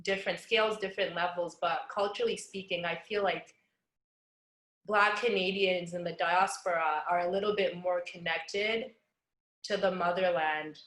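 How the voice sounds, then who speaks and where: Low-voiced young woman, reflective. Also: steady tone, moderate pace, fairly bright tone, reverberant, low-quality recording. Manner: reflective, searching for words. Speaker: panelist. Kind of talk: panel discussion.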